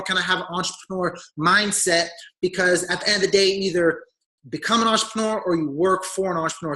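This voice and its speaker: A man with a raspy, hoarse voice from talking all day, giving an eager online sales pitch and often calling his listeners guys. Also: sharp tone, rough recording. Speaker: business opportunity presenter.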